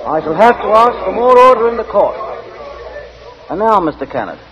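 A man acting a stern, sceptical coroner in a 1940s radio drama, loud, formal and authoritative. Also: sits high, sing-song, moderate pace. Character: stern, sceptical coroner presiding over an inquest. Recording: muffled, noisy old radio broadcast.

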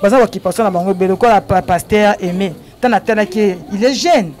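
A middle-aged woman speaks earnestly into a handheld mic outdoors. She preaches with feeling, and her voice sits at an ordinary pitch. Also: brisk, a little mellow. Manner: earnest, preaching tone, tearful at times. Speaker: NGO president visiting an orphanage. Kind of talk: impromptu speech to a crowd. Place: outdoor courtyard of an orphanage. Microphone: handheld microphone.

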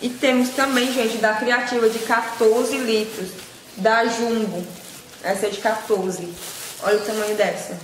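A woman's voice: on the high side, fairly even intonation, measured pace. Young woman, echoey noisy room. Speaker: vlogger and kitchenware seller. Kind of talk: casual product showcase to camera. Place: small indoor room with shelves. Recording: echoey room with loud background noise.

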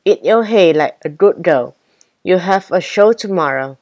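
A woman's voice: lowish voice, lively intonation, measured pace, darkish tone, no echo. A woman reading the part of a firm, kindly female barn manager in a children's storybook.

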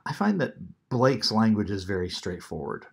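A man in his fifties giving a recorded lecture from an armchair at home, thoughtful and unhurried with frequent ums, into a webcam. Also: fairly low pitch, very animated, creaky, slightly rough. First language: English. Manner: unhurried, thoughtful, many ums, reads poetry aloud slowly. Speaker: teacher. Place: small room at home, seated in armchair.

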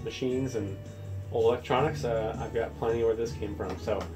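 A man's voice: mid-range voice, steady tone, normal speed, a little mellow, faint music behind, rough recording. A man hosting a casual how-to demonstration, explaining things informally, step by step. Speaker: tutorial host. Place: indoors, room with audio equipment. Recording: clear background room noise.

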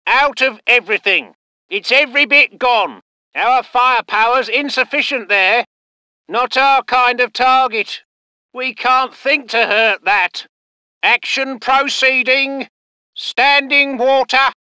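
An actor playing a dutiful, proper British naval ensign in a video game, with clipped, formal military phrasing. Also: male voice, very high-pitched, bright, forceful tone, clear, resonant tone, no echo, exaggerated voice. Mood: brisk, dutiful. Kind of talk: military unit voice lines.

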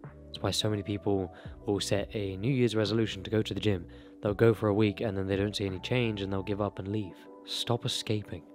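A man's voice: on the low side, steady tone, fairly quick, soft background music. A young man giving earnest, motivational self-improvement advice straight to camera, speaking close into a desk mic in a small bedroom. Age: young adult. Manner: earnest, motivational, direct address. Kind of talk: talking-head advice monologue. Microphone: desk broadcast mic close to mouth.

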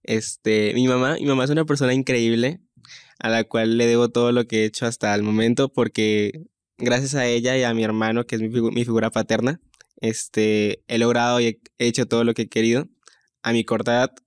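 Teenage male guest, upbeat and grateful, mid-pitched, dry studio mic. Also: level tone, fairly quick, smooth, no echo. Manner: grateful, upbeat, uses filler "este". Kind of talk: interview conversation.

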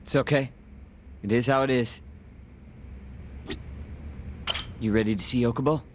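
A man's voice: medium pitch, fairly even intonation, fast, background noise, close to an everyday voice. A man playing a subdued, sincere young sidekick in a video game cutscene, casual in manner. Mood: casual, accepting. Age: young adult.

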